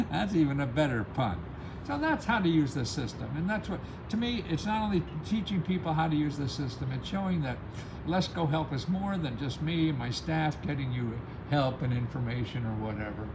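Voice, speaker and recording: A man with a fairly high voice gives casual, rambling advice as a channel host. His pitch swings widely as he drives points home emphatically. There is clear noise behind him, like vehicle sound. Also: expressive, normal speed. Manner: emphatic, chuckles often at own remarks. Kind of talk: casual, rambling motivational explainer.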